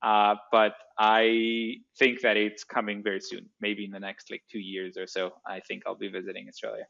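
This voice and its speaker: Low-voiced Greek man, dull lo-fi sound. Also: level tone, normal speed, creaky, fairly bright tone. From Greece. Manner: hesitant, many restarts and fillers. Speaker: guest, startup co-founder. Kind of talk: casual interview.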